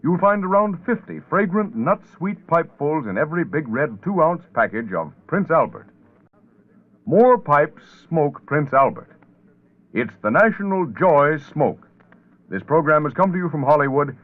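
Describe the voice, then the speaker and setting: A man's voice: a little high, sing-song, measured pace, narrow, tinny audio. An American man reading a scripted commercial in 1940s radio announcer style. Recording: thin band-limited 1940s radio broadcast.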